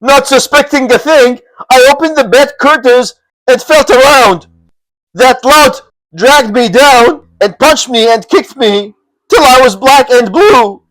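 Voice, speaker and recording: A man's voice: extremely high pitch, unhurried, fairly bright tone, no echo, pitched above the performer's own voice, poor audio. A man doing a frantic beaten bandit lieutenant. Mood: pleading. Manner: desperate, pleading.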